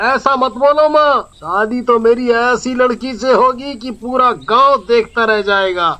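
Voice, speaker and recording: High, bright, cocky young village man acted out. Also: a little slow, exaggerated voice. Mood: whiny, defensive. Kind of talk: acted moral-story dialogue.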